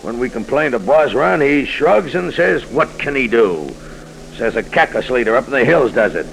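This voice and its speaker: A man's voice: mid-range voice, animated, moderate pace, vocal fry. An actor playing a brash, cynical American insurance man in 1940s radio style, slangy and blunt. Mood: confiding, cynical. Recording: faintly noisy 1940s radio recording.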